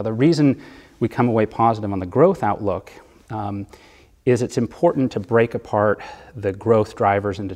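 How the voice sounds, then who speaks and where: A man being interviewed as a bond fund manager, explaining economics in a measured way with occasional fillers. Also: on the low side, darkish tone, big-room sound.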